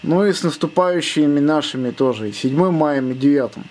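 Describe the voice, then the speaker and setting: A man's voice: a little high, a little fast, a little mellow. A man making casual amateur radio contact, spelling out call signs in phonetic words. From Russia.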